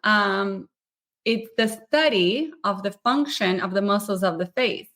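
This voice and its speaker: A woman's voice: medium pitch, unhurried, a bit bright. Upbeat woman hosting a podcast. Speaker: podcast host. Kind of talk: live podcast interview.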